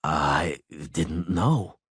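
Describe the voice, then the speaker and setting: Brief: a man playing a grim, curt swordsman, low and blunt. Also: a little slow, a little mellow, husky, no room sound, studio quality, heavily acted. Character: gruff terse grown male monster hunter.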